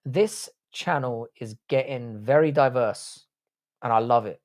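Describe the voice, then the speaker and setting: A man's voice: medium pitch, unhurried, darkish tone. A young man runs a casual live stream, reading out chat messages and firing back blunt, crude jokes. His voice sits in the middle of the male range. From Ireland, grew up in the UK. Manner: blunt, jokey, crude banter. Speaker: livestream host reading chat.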